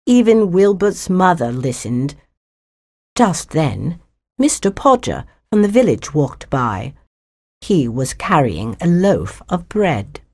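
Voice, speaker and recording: A woman narrating a children's story from a script, in an expressive storybook manner. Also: on the low side, slow pace.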